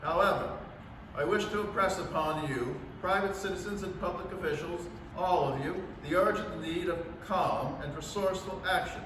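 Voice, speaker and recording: A man playing a solemn government official giving a formal, measured national address in a staged radio drama reading. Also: sits high, close to an everyday voice. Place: library room, staged reading filmed from a distance. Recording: echoey, noisy hall from far off.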